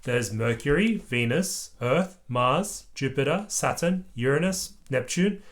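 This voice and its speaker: A parent voicing a hearty grandfather rabbit astronaut from a children's storybook, booming and encouraging. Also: lively intonation, measured pace, lightly acted. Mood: instructive. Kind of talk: children's storybook read-aloud.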